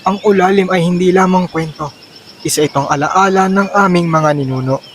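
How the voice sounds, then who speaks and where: A man's voice: high voice, normal speed, slight background noise, close to an everyday voice. A man with a Filipino accent playing a proud, solemn Kalinga storyteller in an amateur radio drama. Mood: solemn, instructive. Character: male Kalinga storyteller, proud keeper of ancestral tales.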